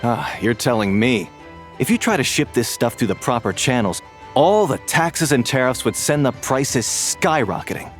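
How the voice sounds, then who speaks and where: A man playing a slick, confident wine trader in a video game, full of smooth sales patter. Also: medium pitch, expressive, moderate pace, lightly acted. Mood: confiding. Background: music.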